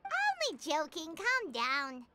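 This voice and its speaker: A woman's voice: huge pitch swings, takes it slowly, heavy background noise, heavily acted. A woman voicing a tiny, childlike fairy companion in an anime video game, chirpy, earnest and talkative. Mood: chatty. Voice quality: squeaky, childlike.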